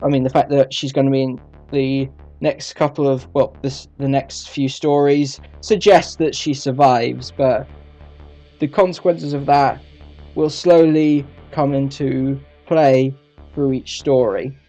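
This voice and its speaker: Mellow-voiced male reviewer, halting delivery. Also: medium pitch, steady tone, faint music behind, poor audio. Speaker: reviewer, video host. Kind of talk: casual audio drama review.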